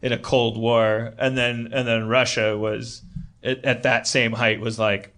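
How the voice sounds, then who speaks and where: A man's voice: mid-range voice, flat delivery, measured pace, fairly bright tone. An American man hosting a comedy show, chatting with guests in a rambling, stammering, stop-start way.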